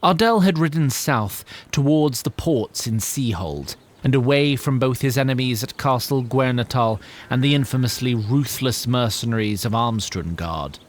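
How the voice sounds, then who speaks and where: A man narrating a scripted story in a measured, literary and theatrical manner. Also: medium pitch, slight background noise.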